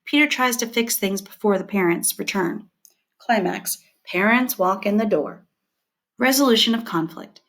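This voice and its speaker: A woman teacher reading scripted instructional material aloud, setting out headings and short points in a measured, deliberate way. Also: mid-range voice, a bit bright.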